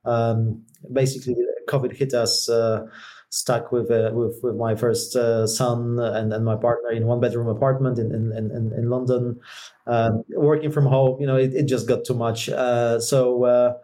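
A man with a Polish accent talks as a guest in a conversational interview. His voice is mellow and of medium pitch, and he speaks in a measured, halting way, often repeating words as he goes. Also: flat delivery. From Poland. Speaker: podcast guest.

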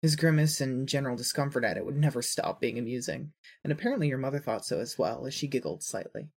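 Husky narrator reading fan fiction, kinda breathy, dramatic second person storytelling. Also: medium pitch, fast talker.

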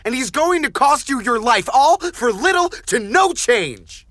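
A man's voice: very high-pitched, sing-song, measured pace, a bit bright, dry recording, studio quality, full character voice. An actor playing a conflicted, protective young man in a fantasy audio drama, pleading and exasperated. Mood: pained, exasperated. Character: conflicted, protective young man torn between love and family.

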